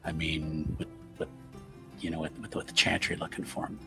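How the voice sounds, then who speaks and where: A man's voice: very deep voice, completely flat delivery, normal speed, vocal fry, over music, pitched below the performer's own voice. A man playing a sly, fumbling schemer in a tabletop game, speaking hesitantly and conspiratorially. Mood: hushed, feigned confusion. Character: sly, fumbling man spreading rumours, feigning innocence.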